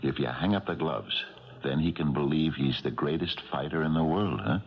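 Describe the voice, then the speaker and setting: An actor playing a grave, older retired policeman who speaks haltingly and earnestly in a 1970s radio drama. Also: extremely low pitch, creaky. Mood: grave, hesitant. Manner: halting, hesitant delivery with repeated pauses. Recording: dull vintage radio recording with music.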